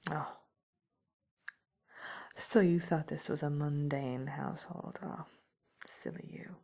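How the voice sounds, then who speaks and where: A woman playing a wry, teasing witch who scolds an intruder in an ASMR roleplay, speaking softly and often in a whisper. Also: low voice, steady tone, slow, heavily acted. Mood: amused scolding. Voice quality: soft, breathy, often whispered. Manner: teasing, musing, mock-stern, theatrical.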